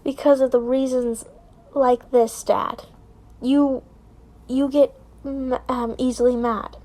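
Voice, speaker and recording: Woman reading as high-voiced, hesitant teenage son. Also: level tone, warm tone, low-quality recording. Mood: nervous. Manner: halting, full of ums. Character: nervous, hesitant fifteen-year-old son confessing.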